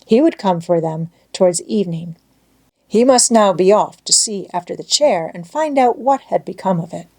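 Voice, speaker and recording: A woman's voice: medium pitch, animated, moderate pace, darkish tone. A woman reading a children's storybook aloud as scripted audiobook narration.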